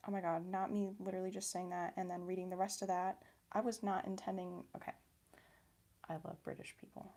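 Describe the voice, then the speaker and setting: A young woman with a Midwestern accent chatting softly for an ASMR video, slipping between whispers and a quiet, giggly voice as she answers viewers. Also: on the low side, little pitch movement, fairly quick. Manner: soft-spoken, drifting between whisper and quiet voice. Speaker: ASMR creator. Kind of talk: ASMR chat while crafting.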